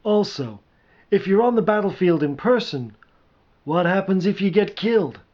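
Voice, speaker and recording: A man's voice: high-pitched, lively intonation, moderate pace, dull audio, pitched above the performer's own voice. A man voicing a practical, doubtful but well-meaning sidekick who reasons tentatively in a storybook reading.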